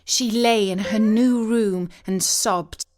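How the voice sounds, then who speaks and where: A woman narrating a scripted story as a storyteller, in an expressive, deliberate and solemn dramatic manner. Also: mid-range voice. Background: music.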